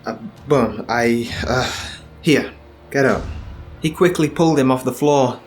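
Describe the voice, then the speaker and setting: A man voicing a menacing, controlling husband who talks in a low, cool tone. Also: a little slow, lightly acted. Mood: placating. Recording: faint music underneath.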